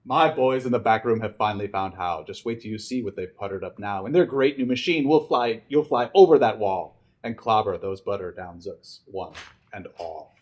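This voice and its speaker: A man's voice: fairly low pitch, moderate pace, a little mellow, voice pushed down, natural voice. A man voicing a pompous, reassuring chief who grandly gives orders in a children's storybook. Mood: proud, rousing. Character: pompous, reassuring male chief sending a soldier to war. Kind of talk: children's storybook read aloud.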